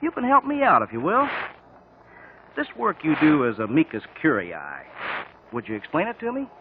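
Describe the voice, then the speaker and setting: An actor playing a chatty, eager, polite reporter with an American accent in a 1950s radio drama. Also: male voice, a little high, wildly swooping pitch, moderate pace. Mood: inquisitive. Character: chatty, inquisitive newspaper reporter. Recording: noisy tinny old radio recording.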